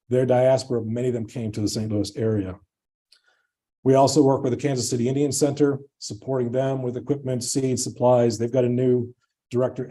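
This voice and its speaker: Guy giving a science talk, really dark soft-edged voice, chatty and keen, mid pitch. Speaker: conservation scientist giving a presentation. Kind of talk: informal conference talk to an audience.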